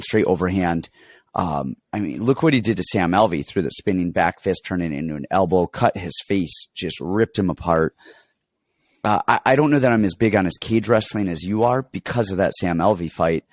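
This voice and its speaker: A man's voice: deep voice, expressive, moderate pace, no room sound, clean studio sound. A man co-hosting a casual fight betting chat, congested and rambling, with many restarts as he tells stories. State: congested, getting over an illness. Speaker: podcast co-host and fight coach.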